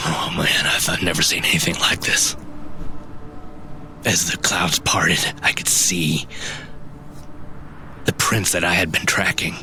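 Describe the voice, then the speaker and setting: An actor playing a shaken woodland tracker in a fantasy audio drama, telling of a monster in halting, dramatic phrases. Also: male voice, sits low, bright, forceful tone, slight background noise, heavily acted. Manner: halting, dramatic storyteller, often pausing and repeating.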